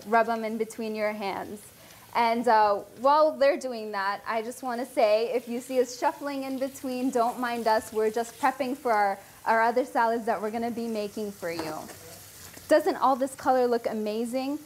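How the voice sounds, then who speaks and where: A woman's voice: a little high, normal speed, a little hiss or hum. A young woman from California, a dietetics student, upbeat and talking straight to camera as she presents a cooking segment in a studio kitchen. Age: young adult.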